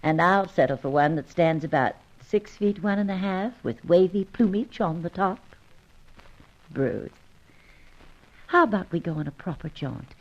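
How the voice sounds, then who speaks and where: A brash, coquettish woman of an old radio serial, teasing and wisecracking in slangy talk. Her pitch swings in a lively, animated way. The recording is dull and band-limited. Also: normal speed. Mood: flirtatious. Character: flirtatious, brash, wisecracking woman pursuing a secret agent.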